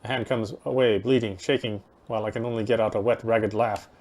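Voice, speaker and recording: A man's voice: fairly low pitch, fairly even intonation, fairly quick, darkish tone. A man reading a game's story aloud as dramatic narration, as a player would. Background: game music.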